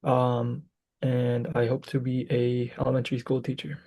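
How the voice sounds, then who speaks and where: Flat, gravelly young man. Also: medium pitch, moderate pace. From Michigan, US. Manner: hesitant, many fillers. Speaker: podcast guest. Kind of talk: casual chat.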